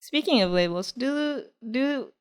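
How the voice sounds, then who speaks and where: A woman co-hosts a casual podcast in a fairly high voice. She teases her friends and laughs often. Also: lively intonation, unhurried, clean tone. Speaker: podcast co-host. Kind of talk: casual chat and read ad.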